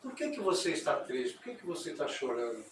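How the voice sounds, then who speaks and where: A man voicing a gentle old father god in a creation legend, speaking softly and tenderly. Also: on the high side, very animated, a little hiss or hum. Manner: soft, tender, slower. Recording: echoey, distant mic.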